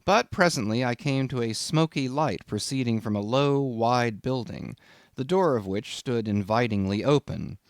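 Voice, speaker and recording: A man reading a literary audiobook as scripted narration, in an expressive, measured storytelling manner. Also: medium pitch, dry recording, clean studio sound.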